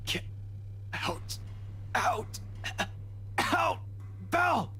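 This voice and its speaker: An actor playing a battered, protective policeman in a video game, with a strained, husky voice and urgent manner. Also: extremely high pitch, huge pitch swings, very slow, pushed and bright, exaggerated voice. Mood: strained urgency.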